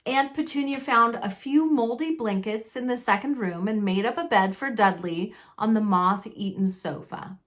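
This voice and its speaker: A woman narrating a children's novel aloud, expressively, with deliberate and dramatic phrasing. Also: a little high. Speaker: storybook narrator.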